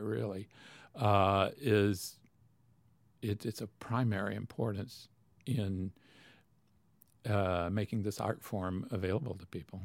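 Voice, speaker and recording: A man with a low, gravelly voice explains things at length in an interview. He pauses often and restarts his sentences. The sound is dry and close. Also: darkish tone, no echo. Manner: thoughtful, many fillers and restarts. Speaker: opera expert guest. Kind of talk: interview answers.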